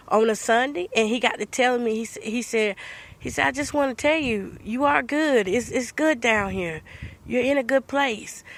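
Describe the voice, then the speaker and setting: An older woman with a congested voice from a cold, hosting a podcast and casually telling her viewers a story in a chatty, sing-song way. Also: mid-range voice. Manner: chatty, animated, sing-song Southern talk. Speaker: podcast host. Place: rural home.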